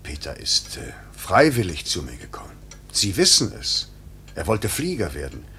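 An actor playing a stern, restrained flight chief in a 1950s German radio drama, grave and measured with hesitant pauses. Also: male voice, low-pitched, huge pitch swings, pushed and bright, full character voice. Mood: uneasy, restrained. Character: stern, restrained male flight chief, duty-bound, inwardly moved. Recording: hissy 1950s radio recording, faint hum.

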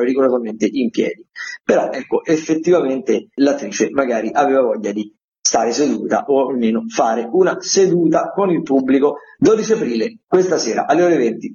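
Male radio presenter, mid pitch, semi-improvised culture listings, digressive and self-correcting, slightly muffled lo-fi sound. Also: warm tone.

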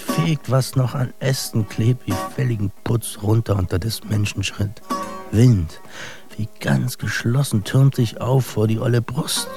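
Brooding young immigrant, very slow, creaky voice, poetic slang, halting delivery, radio play. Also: male voice, medium pitch. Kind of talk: radio drama monologue.

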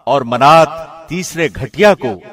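A man reads a scripture translation in the measured, formal delivery of a radio presenter. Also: on the high side, fairly bright tone. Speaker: translation reader, radio host.

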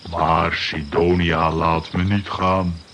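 Deep, blunt, simple strongman. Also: takes it slowly, slight background noise. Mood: deadpan. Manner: clipped telegraphic speech, deadpan. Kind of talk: children's radio play acting.

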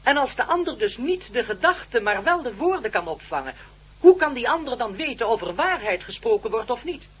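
An actor playing a solemn, telepathic non-human man in a radio play, speaking formally and literally in a husky voice. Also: extremely high pitch, big pitch swings, a bit bright, full character voice. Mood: pondering. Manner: deliberate, formal, literal-minded. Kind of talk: Dutch radio play acting.

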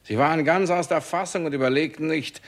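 A man acting a smooth-talking, menacing Hollywood studio boss in a 1960s German radio crime play, mockingly polite and drawling. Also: a little high, fairly quick, natural voice.

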